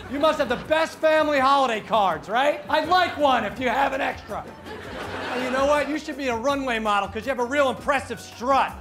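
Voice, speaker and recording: A middle-aged man loudly and aggressively shouting compliments at strangers on a busy city sidewalk. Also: extremely high pitch, a little fast, a crowd in the background. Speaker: comedy street prankster. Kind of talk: street prank, loud mock compliments. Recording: outdoor street noise with music and laughter.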